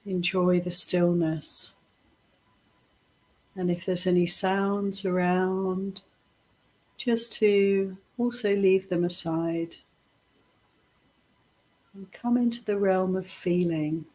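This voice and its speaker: A woman guides a meditation in a slightly low, breathy and husky voice. She speaks very slowly and gently, setting out short phrases with pauses between them. Her delivery is softly instructive, as a teacher leading a practice. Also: steady tone.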